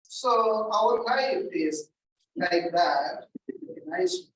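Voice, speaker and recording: A male chaplain with an accent giving a deliberate teaching talk, putting questions to his listeners. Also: high voice. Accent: non-native English accent. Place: large echoey hall. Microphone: distant from the mic. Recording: echoey hall from far off, faint noise.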